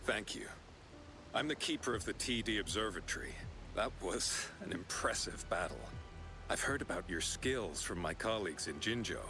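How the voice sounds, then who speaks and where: A man's voice: lowish voice, expressive, normal speed. A man playing an earnest, formal and resolute observatory keeper in a video game. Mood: composed, resolute. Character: earnest male observatory keeper rallying fighters. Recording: game music behind the voice.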